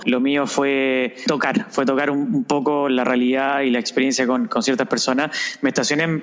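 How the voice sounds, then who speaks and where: A man with Spanish as his first language, guest on an interview podcast, speaking reflectively with frequent restarts and tag questions. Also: mid-range voice. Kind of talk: conversational interview.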